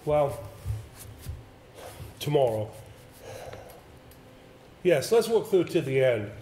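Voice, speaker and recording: A troubled, formal gentleman, played by a man, high in pitch and uneasy. It is live stage acting in an echoey hall with a little noise. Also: very animated, takes it slowly. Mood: anxious, sombre. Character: haunted, anxious, formal gentleman, reluctant amateur performer. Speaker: amateur stage actor. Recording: echoey hall, stage play recording with hiss.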